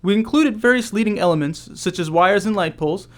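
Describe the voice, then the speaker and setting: A man's voice: high-pitched, a little fast. A man reading scripted commentary as a game developer.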